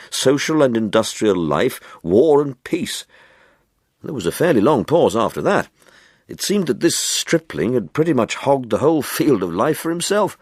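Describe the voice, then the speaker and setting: A man reading a literary short story in a wry, measured and expressive storytelling manner, like an audiobook narrator. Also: fairly low pitch, dry recording, studio quality.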